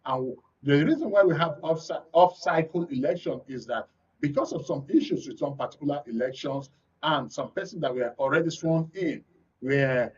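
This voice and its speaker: A man with a Nigerian accent hosting a current affairs discussion, explaining in a measured way. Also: on the high side, distant mic, highs cut off. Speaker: radio and TV talk show host.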